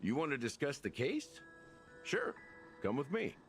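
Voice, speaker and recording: An actor playing a laid-back, sardonic, world-weary investigator in a game, with a casual, wry delivery. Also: male voice, medium pitch, wildly swooping pitch, a little slow, soft background music.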